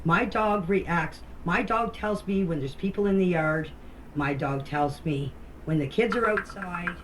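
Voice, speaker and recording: A woman's voice: lowish voice, a little slow, fairly bright tone. A woman recounting events informally, in a blunt, defensive and emphatic manner. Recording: faintly noisy voice-message recording.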